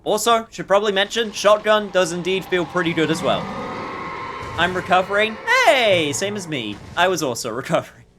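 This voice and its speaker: A man's voice: high-pitched, expressive, normal speed. A man doing casual let's-play commentary, playfully reacting and muttering to himself, with some swearing. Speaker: game streamer. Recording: faint background noise.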